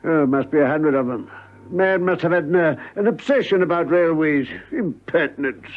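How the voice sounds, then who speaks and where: An actor playing a blustering old seafaring admiral in a classic spy radio drama, hesitant and full of nautical bluster. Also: male voice, fairly high pitch, animated, moderate pace, close to an everyday voice. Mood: indignant muttering. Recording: muffled old radio broadcast recording.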